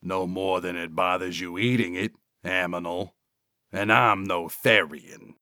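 A man's voice: deep voice, fairly even intonation, unhurried, sharp tone, no echo, clean studio sound, pitched below the performer's own voice. A man voicing a stunted, irritable male schemer in an audiobook, with a gargling, curt, evasive manner. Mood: curt, sneering. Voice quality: gargling, throaty. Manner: grumbling, curt, sneering.

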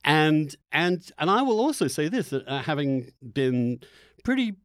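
A middle-aged man in an opinionated political discussion, halting and repeating words as he builds his arguments. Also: on the high side, sing-song, no echo, clean studio sound.

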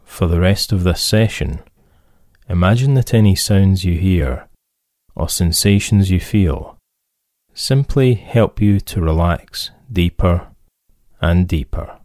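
Slow, deep-voiced man guiding relaxation. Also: no echo, studio quality. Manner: calm, soothing, measured instruction with pauses. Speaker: therapist leading guided relaxation. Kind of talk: guided relaxation meditation.

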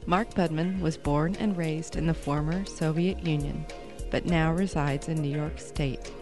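Low-pitched woman announcer over music. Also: fairly even intonation, unhurried, a little mellow. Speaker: podcast host introducing authors. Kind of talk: scripted announcing.

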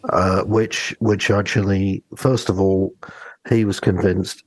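A man co-hosting a podcast in casual, discursive conversation, with a gravelly, husky voice. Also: sits low, little pitch movement, takes it slowly, dry recording, rough recording. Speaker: podcast co-host.

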